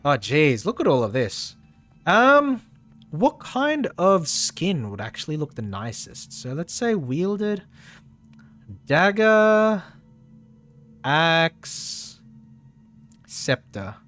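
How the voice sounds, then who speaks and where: A man's voice: fairly high pitch, sing-song, extremely slow, pauses often, a bit bright, soft background music. A man chatting casually through a live game stream, repeating phrases for emphasis, his voice a little tired and strained.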